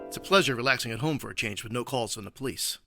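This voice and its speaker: An actor playing an earnest, stuffy caped crime fighter with a formal, straight-laced manner in a comedy radio play. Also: male voice, mid-range voice, very fast, vocal fry, over music, close to an everyday voice.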